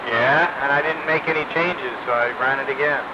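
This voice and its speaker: A man's voice: on the high side, fairly quick, crowd noise. A man chatting casually over two-way radio as a hobbyist on a radio roundup. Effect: two-way radio transmission. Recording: noisy, muffled CB radio transmission.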